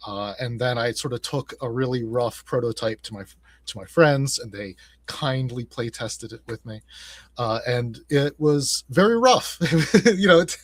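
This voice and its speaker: A man with a medium-pitched voice talks casually as an interview guest. He thinks aloud in halting, broken phrases. The sound is close and dry. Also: dry recording. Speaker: game designer, interview guest.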